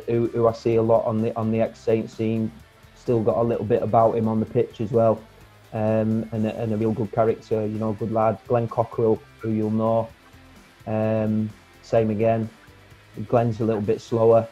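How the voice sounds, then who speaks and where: A man in his forties talks in a low, flat, mellow voice, relaxed and rambling with frequent fillers. He is heard through an earphone mic on a dull, lo-fi video call, with faint music underneath. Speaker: guest, former footballer. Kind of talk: casual video-call chat. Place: home room, indoors.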